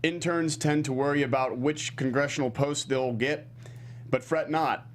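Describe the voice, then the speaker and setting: A man's voice: fairly high pitch, fairly even intonation, moderate pace, close to an everyday voice. A man playing a painfully genuine old man, speaking formally and earnestly in a comedy table read.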